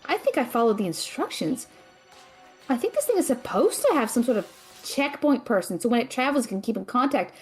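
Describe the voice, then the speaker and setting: A woman's voice: fairly high pitch, sing-song, a little fast, slightly rough, soft background music. A young woman playing an anxious, studious tech whiz student in a fantasy audio drama, speaking with earnest precision. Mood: analytical, curious.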